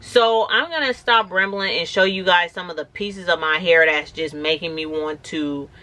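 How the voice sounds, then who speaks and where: A woman in her thirties, a little raspy and hoarse from a cold and fresh braces, chatting casually in a rambling vlog. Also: fairly low pitch, normal speed, sharp tone. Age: 30s. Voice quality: slightly raspy. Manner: rambling, conversational, many "like"s. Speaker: natural hair vlogger. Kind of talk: casual talking-to-camera vlog.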